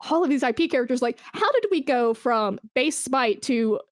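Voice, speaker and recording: Enthusiastic woman, higher voice, dry recording. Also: animated, moderate pace, dry recording. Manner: enthusiastic, informal. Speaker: interview guest, esports brand manager. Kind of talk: casual interview chat.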